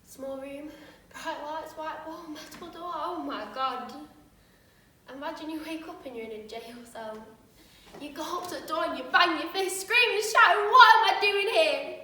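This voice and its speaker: A woman's voice: high-pitched, animated, moderate pace, pushed and bright, a little hiss or hum. Young woman in a cell, vivid storyteller; woman in role; far-off, very echoey. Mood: shaken. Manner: vivid storytelling, acting out the voices of others. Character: young woman waking up in a jail cell, shaken. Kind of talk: stage monologue.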